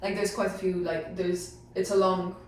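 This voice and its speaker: A woman's voice: lowish voice, level tone, moderate pace, darkish tone, slight background noise. A woman chatting casually while leading a team table read. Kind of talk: casual chat. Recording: echoey room, distant mic.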